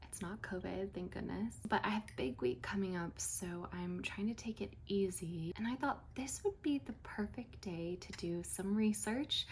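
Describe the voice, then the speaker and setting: Soft-spoken woman with a cold. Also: mid-range voice, moderate pace, hardly any pauses, slight background noise. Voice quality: a little nasal. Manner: soft-spoken, often drops to a whisper. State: has a cold. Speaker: writer and vlogger. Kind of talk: casual vlog chat.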